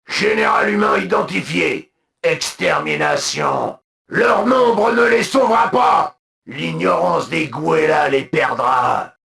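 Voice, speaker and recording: An actor voicing a proud, zealous alien military commander for a video game, with a gravelly voice and solemn, imperious, clipped delivery. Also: male voice, on the high side, pushed and bright, heavily acted. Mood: stern, contemptuous, then urgent, battle-ready. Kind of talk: video game unit voice lines, declamatory.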